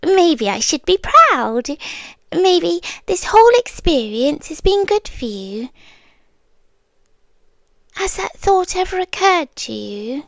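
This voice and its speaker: A British woman playing a possessive, calmly menacing yandere girlfriend in an intimate ASMR roleplay, breathy and often dropping to a whisper. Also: extremely high pitch, no room sound, heavily acted. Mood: condescending, tender. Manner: slow, deliberate, breathy, drifting into whispers.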